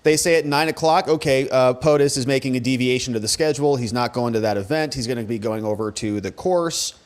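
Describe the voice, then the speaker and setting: A man's voice: medium pitch, a little fast. A man giving casual, sarcastic commentary as a show host, speaking in a colloquial way. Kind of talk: casual commentary reacting to press conference clips.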